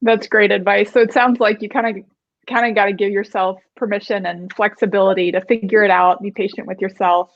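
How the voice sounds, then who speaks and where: A woman's voice: medium pitch, fairly even intonation, brisk, no room sound. A woman hosting an interview, supportive and conversational, asking her guest questions. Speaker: interview host. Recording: dull, dry sound.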